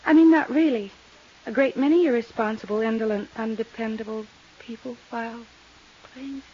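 An actress playing a haunted, unstable young widow with deliberate, intense delivery and loaded pauses in a 1950s radio drama. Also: female voice, fairly high pitch, a little mellow, close to an everyday voice. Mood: haunted, bitter. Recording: muffled, hissy vintage radio recording.

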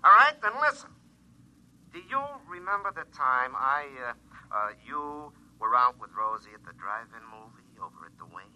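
An actor playing a scheming, insistent future self in a classic radio drama, explaining emphatically through a telephone filter. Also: male voice, medium pitch, very animated, normal speed, pushed and bright. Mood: confiding. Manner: emphatic, explanatory, repeating himself. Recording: tinny, band-limited telephone line.

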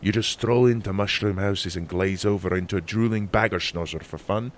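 Man acting a gruff older seafarer, low-pitched, accented, stern, curt and sardonic, storybook character, noticeable background noise. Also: brisk, lightly acted. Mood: scolding. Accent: deep put-on accent. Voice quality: deep. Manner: curt, chiding, dry.

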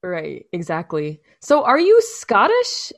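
A woman hosting a casual interview, asking questions and affirming her guest warmly. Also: on the low side, huge pitch swings, slow pace. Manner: encouraging, affirming. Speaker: host.

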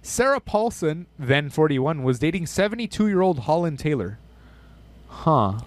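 A man's voice: on the high side, big pitch swings, measured pace, no echo. A young man hosting a casual podcast chat, swearing freely and reading out lists of names and numbers. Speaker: podcast host.

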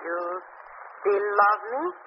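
A woman's voice: mid-range voice, lively intonation, takes it slowly, vocal fry. An actress playing a strange, seductive woman from the South American jungle, in 1940s radio acting style. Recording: muffled old radio broadcast with hiss.

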